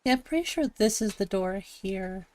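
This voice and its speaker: A woman from Montana with a gravelly voice, chatting casually to her live-stream audience while she plays a game, thinking aloud and answering chat. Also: mid-range voice, expressive, normal speed, dark tone. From Montana, USA. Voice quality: gravelly, slightly raspy.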